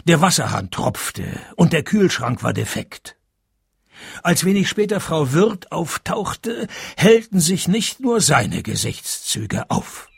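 An actor playing a wry, cheeky private detective who narrates a radio play, deadpan and sardonic, with a Swiss accent. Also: male voice, fairly high pitch, takes it slowly, creaky, slightly rough, no echo, studio quality, heavily acted. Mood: dry narration.

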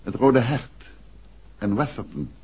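An actor playing a composed, courteous but insistent detective in a 1960s Dutch radio drama. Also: male voice, mid-range voice, very animated, takes it slowly, a little mellow, breathy edge, exaggerated voice. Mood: calm, probing. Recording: muffled old radio recording, faint hiss.

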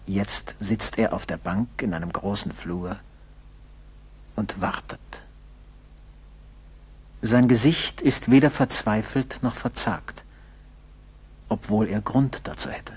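A man narrating a radio play solemnly, in a measured way with dramatic pauses. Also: fairly low pitch, slightly rough.

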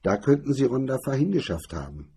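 A man's voice: medium pitch, very animated, fairly quick, vocal fry, dark tone, husky, pitched above the performer's own voice, heavily acted. A man voicing a sullen, cornered lawyer, weakened and resigned, with a sulky, dull manner.

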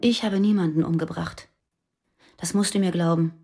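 An actress playing a guarded young former militant, speaking earnestly and confessionally in a radio drama. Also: female voice, lowish voice, steady tone, moderate pace, darkish tone, close to an everyday voice. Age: young adult. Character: guarded young woman, former West German terrorist.

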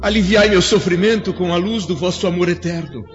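An actor playing the spirit of a dead senator who cries out in anguish and prays with repentance. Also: male voice, sits high, fairly quick, close to an everyday voice. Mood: repentant. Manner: anguished, pleading. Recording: dull lo-fi audio with music.

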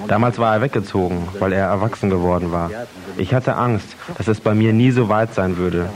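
A man in his thirties speaks in a fairly low voice. He delivers a personal, confessional monologue from a script. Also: a little fast, crowd noise. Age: 30s.

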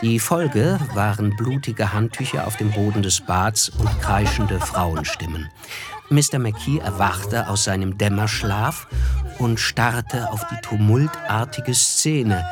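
An actor playing a wry, observant first-person narrator in a radio drama, measured and dryly ironic. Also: male voice, fairly low pitch. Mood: detached, dry. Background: music.

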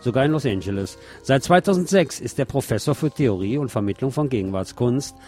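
A man with a mid-pitched voice reads a prepared article aloud in the role of a radio host. His delivery is scripted narration, and music plays faintly behind him. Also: animated, brisk, darkish tone.

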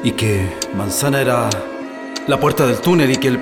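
A weary male political prisoner with a Chilean accent , played by a man in a radio drama. His voice sits at a middling pitch, with music clearly behind it. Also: normal speed, lightly acted. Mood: weary, suffering.

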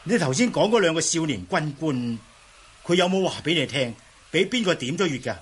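High-voiced, animated young martial-arts hero. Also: male voice, fairly quick, breathy edge. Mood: alarmed. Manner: theatrical, exclamatory, questioning. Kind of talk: Cantonese radio drama acting.

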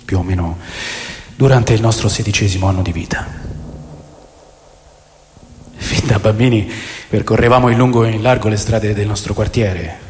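A man's voice: fairly low pitch, darkish tone, natural voice. A man playing a brooding narrator who mourns a lost childhood friend, in a solemn, reflective radio drama monologue. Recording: echoey long reverb, faint background noise.

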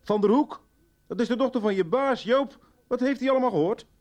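Agitated, high-pitched angry man. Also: fairly quick. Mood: angry, alarmed. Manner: blunt, swearing, accusing. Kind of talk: radio soap acting.

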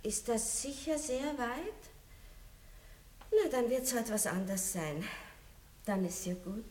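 A woman's voice: fairly high pitch, animated, slow pace, darkish tone. An actress playing an elegant aristocratic lady who is tender, indulgent and teasing toward a younger lover, in a 1960s radio play. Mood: dreamy, uneasy. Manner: languid, affectionate, aristocratic stage diction. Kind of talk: 1960s German-language radio play acting. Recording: roomy, hissy old radio recording.

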